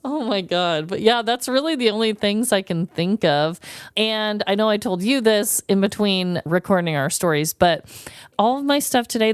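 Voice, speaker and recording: A woman co-hosting a podcast in casual, jokey conversation, informal and quick to laugh, close on the microphone. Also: medium pitch, clean tone, no echo. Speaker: podcast co-host. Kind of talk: casual conversational true-crime comedy podcast chat.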